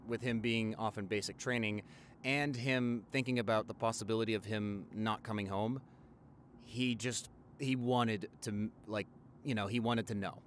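Over faint car noise, a man acts out a loving doctor boyfriend in his forties. He tells his stories in a casual, halting, intimate way, as audio roleplay for a partner. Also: lowish voice, fairly even intonation, lightly acted. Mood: fond, reminiscing. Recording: car ride ambience behind the voice.

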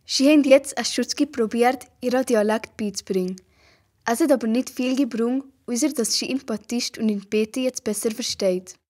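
A woman's voice: on the high side, normal speed, darkish tone. A female narrator with a Valais Swiss German accent telling a scripted story in an amateur radio play.

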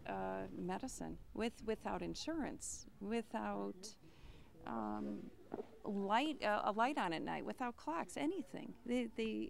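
An older woman, a volunteer pharmacist, answering interview questions outdoors in a plain, slightly halting way. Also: mid-range voice, creaky, slight background noise. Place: outdoors at a rural clinic.

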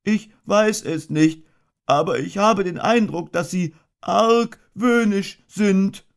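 A man putting on a high voice for a slow, earnest, muddled little teddy bear in a storybook reading. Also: vocal fry, heavily acted. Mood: conspiratorial. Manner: slow, earnest, ponderous, sing-song.

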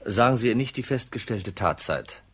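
A deep-voiced, wry detective. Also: male voice, animated, moderate pace, husky. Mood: dry, polite. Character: cool, wry male insurance detective narrating his case. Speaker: actor, narrator and lead. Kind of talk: German crime radio drama, first-person narration.

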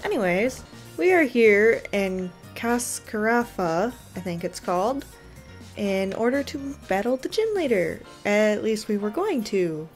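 A woman's voice: medium pitch, very animated, unhurried, faint music behind. A woman giving casual, wry commentary as she plays a game, thinking aloud. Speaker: gaming video creator.

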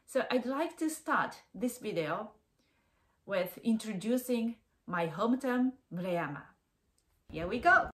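A woman's voice: on the high side, expressive, a little slow. An older Japanese woman with a Japanese accent, a vlogger speaking careful, simple English in short phrases as she guides viewers. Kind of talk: travel vlog guide. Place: room at home with a bookcase.

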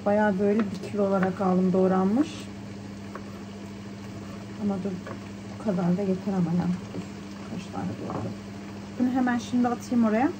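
A woman's voice: mid-range voice, normal speed, long pauses, background noise. A woman in her thirties chatting casually and colloquially as she narrates her everyday vlog. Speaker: vlogger. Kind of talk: casual vlog narration while shopping and cooking.

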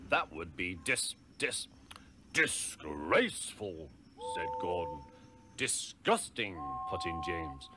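A man's voice: low voice, big pitch swings, takes it slowly, background noise. A man reading children's story narration and quoting its characters' lines.

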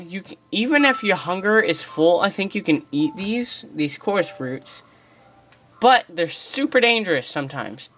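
A channel host giving chatty, excitable game commentary, explaining features and joking about their own slips, recorded on a phone filming a screen. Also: medium pitch. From United States. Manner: chatty, excitable, self-deprecating. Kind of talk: casual game commentary tutorial.

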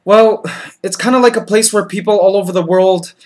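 Man, 30s, high-pitched vlogger, patient and amused. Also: steady tone, brisk, darkish tone. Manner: patient then exasperated, laughing. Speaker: comedy vlogger. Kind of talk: comedy sketch vlog. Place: small bedroom, indoors.

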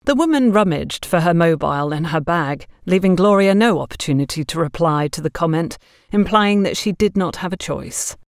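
A woman narrating a scripted audio story in a measured, expressive storytelling manner. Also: fairly low pitch, no room sound, clean studio sound.

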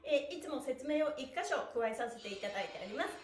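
Animated, high-pitched woman leading a stretch class. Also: quick pace. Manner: cheerful, encouraging, exclamatory; polite, with playful honorifics for body parts. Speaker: stretching instructor and stream host. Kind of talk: live exercise lesson to viewers. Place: small bare-walled room indoors. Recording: roomy indoor echo.

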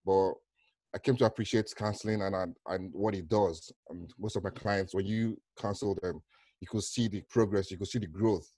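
Low-voiced middle-aged Nigerian man. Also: fairly even intonation, normal speed, dark tone, breathy edge, dry recording. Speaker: counsellor, interview guest. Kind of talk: conversational interview answers. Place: small room at home, on a video call. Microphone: headset.

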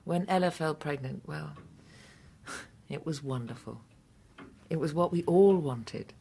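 An actress playing a proud, composed middle-aged widow in a radio drama, husky and measured. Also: female voice, low-pitched, warm tone, a little hiss or hum. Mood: defensive, proud. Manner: measured, haughty. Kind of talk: British radio drama acting.

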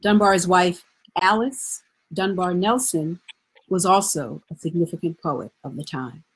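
A woman reads scripted narration as a presenter. Her voice sits on the low side and has a husky grain. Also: measured pace, rough recording. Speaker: narrator and presenter of a literary event.

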